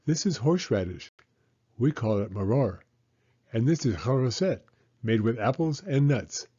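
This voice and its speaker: A man's voice: mid-range voice, lively intonation, moderate pace, warm tone, slightly rough, lo-fi sound. A man voicing a kindly old grandmother who explains patiently, in a storybook reading. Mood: kindly, explaining.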